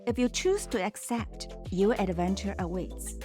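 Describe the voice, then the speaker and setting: A woman's voice: mid-range voice, a little slow, music in the background. Woman reading an inspirational show intro. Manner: inspirational and declamatory. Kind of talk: scripted promo narration.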